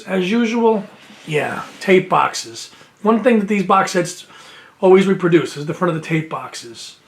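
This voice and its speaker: A man's voice: sits high, vocal fry. A man casually chatting through an unboxing of a music box set, reading out liner notes with enthusiasm and sniffling from allergies. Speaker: music collector and video host. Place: indoors at home near his shelves.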